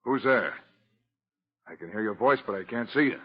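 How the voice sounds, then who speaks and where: An actor playing a dutiful, earnest prison warden with a formal, deliberate manner in 1940s radio drama style. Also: male voice, on the low side, lively intonation, slightly rough. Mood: startled, earnest. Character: dutiful, earnest male prison warden, jumpy but firm. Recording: muffled old radio recording.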